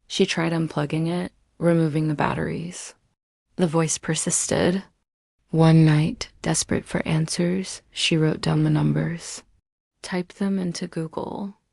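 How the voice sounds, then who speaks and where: A woman storyteller hosting and narrating a scripted horror story in a measured, deliberate way with dramatic pauses. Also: deep voice, fairly even intonation, vocal fry, darkish tone, no room sound, clean studio sound. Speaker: storyteller and channel host.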